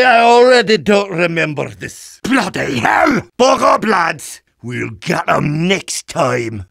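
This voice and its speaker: A man's voice: high voice, creaky, fairly bright tone, dry recording, studio quality, exaggerated voice. An actor playing a brash, drunken male demolitions soldier in a video game, with a Scottish accent, bellowing battle cries. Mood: glum.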